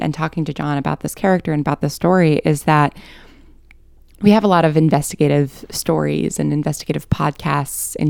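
Female interview guest, deep and clear voice, dry close sound, articulate and analytical. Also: a little mellow, no echo. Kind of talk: thoughtful interview conversation.

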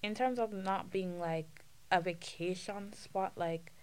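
A young woman with a Jamaican accent, a student guest chatting casually on a podcast, animated and quick to joke. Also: on the low side, clear, resonant tone. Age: young adult. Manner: animated, laughs and jokes, speeds up when excited. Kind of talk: casual chat.

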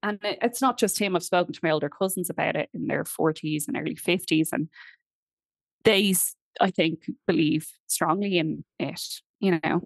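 A young Irish woman with a slightly low voice tells family tales in a relaxed, chatty way. Her volume swells and drops as she talks, and she laughs easily between thoughts. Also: normal speed, creaky. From Ireland. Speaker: guest. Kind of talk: casual storytelling conversation.

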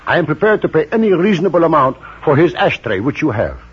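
Man putting on a husky, formal German emissary. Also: fairly high pitch, very animated, fast talker, pitched above the performer's own voice, heavily acted. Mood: formal, persuasive. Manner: formal, courtly, persuasive. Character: formal, insistent male German imperial emissary. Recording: muffled vintage 1940s radio broadcast.

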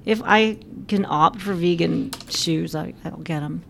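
A woman from the Philippines, raised in South Carolina, co-hosting a podcast with teasing quick questions. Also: fairly low pitch, creaky, fairly bright tone, a little hiss or hum. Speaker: podcast co-host. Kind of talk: casual chat.

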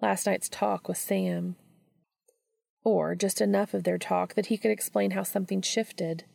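A woman's voice: mid-range voice, steady tone, a little slow, vocal fry, darkish tone, dry recording, studio quality. A woman reading a story aloud as scripted audiobook narration. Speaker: fan fiction audiobook reader.

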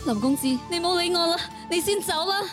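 A woman's voice: very high voice, animated, fairly quick, over music, pitched above the performer's own voice. A woman voicing a sweet, coy, helpless young maiden in a spoof Cantonese romance radio drama. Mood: frightened, pleading. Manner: coy, pleading.

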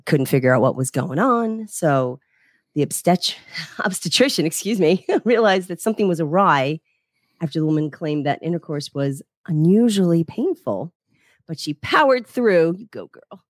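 A woman with a notably low voice reads a news item aloud for a podcast and breaks into amused giggles as she goes. The sound is dry and up close. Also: animated, normal speed, dry recording. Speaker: podcast co-host. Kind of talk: reading a news story aloud with commentary.

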